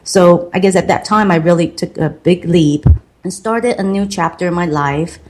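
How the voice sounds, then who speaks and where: Middle-aged woman, Taiwanese accent, second-language English, slightly low voice, earnest storyteller. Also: darkish tone. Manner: earnest, reflective, slow and careful. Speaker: guest, marketing consultant. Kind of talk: podcast interview storytelling.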